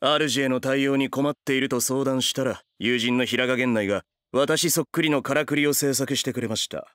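An actor playing a stern, exasperated workaholic retainer who speaks formally and curtly in a comic anime drama. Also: male voice, mid-range voice, normal speed, a bit bright, smooth, no room sound, studio quality, close to an everyday voice. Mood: brisk, resigned. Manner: formal, curt, businesslike. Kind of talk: anime voice drama comedy acting.

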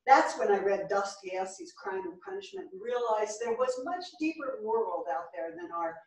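A woman's voice: mid-range voice, lively intonation, moderate pace, close to an everyday voice. A woman playing an outspoken, philosophical older writer in a staged play reading. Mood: reflective. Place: small theatre stage, indoors. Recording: very echoey theatre, distant microphone.

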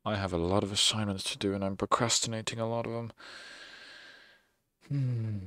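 A man's voice: lowish voice, fairly even intonation, normal speed, sharp tone, no room sound. A young man streamer closing out his stream with casual, rambling, self-mocking sarcastic chatter into a close microphone. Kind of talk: casual end-of-stream chat.

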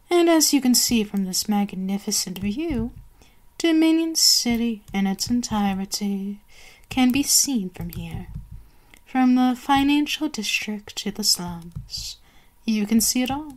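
A woman's voice: a little high, poor audio. A woman voicing a haughty, cruel and seductive vampire queen in an ASMR roleplay, savoring her commands and gloating.

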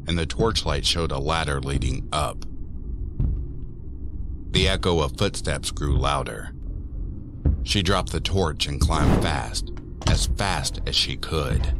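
Very deep-voiced, slow male horror narrator. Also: background noise. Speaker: narrator of a horror audio story. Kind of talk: scripted horror story narration.

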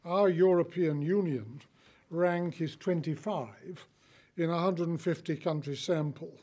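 A man's voice: on the high side, measured pace, darkish tone. An older man giving a formal prepared address from a lectern, reading from his notes. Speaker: keynote speaker. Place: conference hall, lectern. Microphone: lectern mic.

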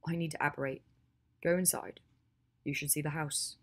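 A woman voicing a reserved, weary, quietly caring man in an audiobook, with a clipped, calm manner. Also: low-pitched, moderate pace. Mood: gently reassuring. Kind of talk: audiobook character acting.

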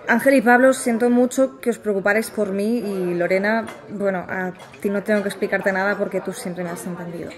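A woman's voice: medium pitch, steady tone, brisk, few pauses, close to an everyday voice. An actress playing a reticent former researcher and young mother with an accent from Spain, speaking hesitantly and sincerely in a naturalistic audio drama interview. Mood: guarded, uneasy. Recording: busy café chatter behind. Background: café crowd.

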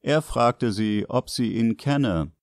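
A man's voice: mid-range voice, expressive, slow, darkish tone, no echo, studio quality. A man reading short scripted sentences as the narrator of a language lesson. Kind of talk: scripted sentence translations read out.

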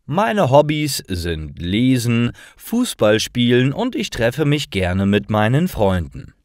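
Storybook schoolboy, fifteen, read by a man: friendly, deliberate pace, big pitch swings. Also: big pitch swings, no echo, studio quality. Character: friendly fifteen-year-old schoolboy introducing himself. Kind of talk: storybook character reading.

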